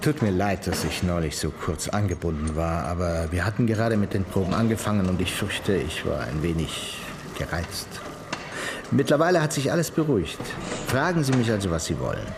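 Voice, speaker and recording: An actor playing a talkative, cynical musical producer in a radio crime drama, telling things expansively. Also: male voice, deep voice, lively intonation, fairly quick, noisy background. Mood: businesslike.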